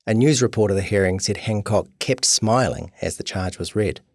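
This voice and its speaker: A man's voice: fairly low pitch, moderate pace, darkish tone, no room sound, studio quality. A man narrating a scripted documentary podcast.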